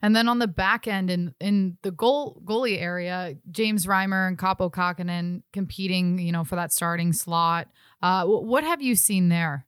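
Smooth, low-voiced woman hosting sports interview. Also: moderate pace, no room sound, studio quality. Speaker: sports podcast host. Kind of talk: conversational interview questions.

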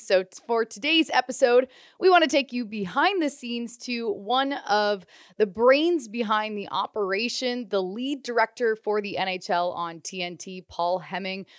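A woman's voice: fairly high pitch, dry recording, clean studio sound. A woman hosting a hockey podcast interview, asking upbeat, friendly questions. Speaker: podcast host.

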